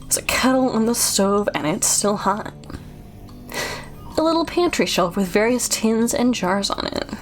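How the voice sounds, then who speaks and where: Girl streaming a game, reads the text out kinda slow, bit high voice, chatty asides, faint music behind. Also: sing-song. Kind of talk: reading game narration aloud with casual asides.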